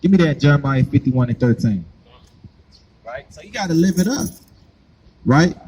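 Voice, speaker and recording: A man's voice: a little high, lively intonation, normal speed, a little mellow, slight background noise, rough recording. A man preaching on the street, pressing his points with repeated questions. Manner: insistent, hammers points with repeated "right?".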